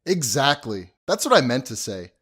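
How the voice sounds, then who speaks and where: Man acting an animated, chatty young husband. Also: medium pitch, normal speed, breathy edge. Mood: defensive. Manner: informal, chatty storytelling. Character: folksy, excitable young husband, easygoing and cheeky. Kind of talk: audio drama acting.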